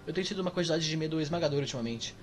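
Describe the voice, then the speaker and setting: A man reading the part of a quiet, troubled young man in a mask in a game, in a soft, subdued way. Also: a little high, racing, faint music behind, close to an everyday voice. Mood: weary.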